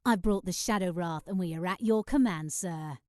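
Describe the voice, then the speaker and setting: A woman's voice: lowish voice, normal speed, darkish tone, no echo, clean studio sound, close to an everyday voice. An actress playing a king's dutiful young warrior daughter in a game cutscene, respectful and urgent in manner. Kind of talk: dramatic video game cutscene acting.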